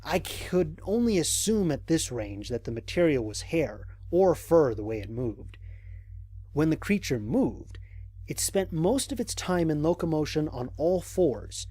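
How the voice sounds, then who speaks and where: An actor playing a cautious, observant male space explorer, recording mission logs in a measured, reflective way for an audio drama. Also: a little high, big pitch swings, warm tone, slightly rough, no room sound, heavily acted. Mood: curious, observant. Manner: measured, reflective, formal diction with deliberate pauses. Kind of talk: scripted audio drama monologue.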